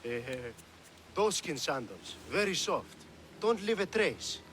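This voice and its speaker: A flustered, babbling guard. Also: male voice, high-pitched, very animated, slow, a bit bright, a little hiss or hum, heavily acted. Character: nervous, rambling male guard. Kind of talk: comic video game voice acting.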